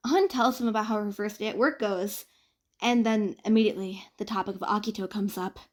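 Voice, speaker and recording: A woman reading fan fiction aloud as a narrator, in an expressive audiobook style. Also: medium pitch, brisk.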